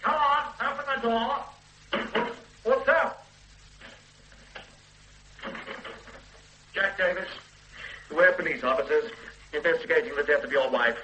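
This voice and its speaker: A calm, dogged police superintendent. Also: male voice, high voice, normal speed, a little hiss or hum, low-quality recording. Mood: firm. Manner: calm, formal and persistent. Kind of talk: 1980s radio drama acting.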